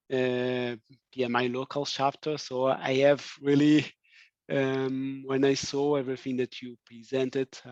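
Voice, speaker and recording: A man with a Portuguese accent, raised in France, giving a webinar talk, halting with many fillers and restarts. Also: mid-range voice, no echo. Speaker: guest speaker. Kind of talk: webinar presentation. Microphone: video call. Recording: dry, dull video call audio.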